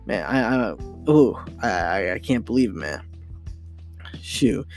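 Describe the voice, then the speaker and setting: A young man hosting a casual solo podcast, rambling and excitable, full of restarts and fillers. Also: lowish voice, slow pace, faint music behind. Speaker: podcast host.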